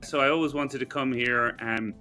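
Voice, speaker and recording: A middle-aged Irish man, a professor guest, telling his story in a relaxed, conversational way. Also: mid-range voice, normal speed, faint music behind. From Ireland. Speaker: guest, business school professor. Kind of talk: conversational interview.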